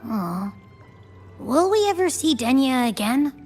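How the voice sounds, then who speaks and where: An actress playing an earnest young woman in a game drama, asking things in a tentative, halting way. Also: high voice, very animated, darkish tone, slightly rough, soft background music, heavily acted. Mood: hesitant, hopeful.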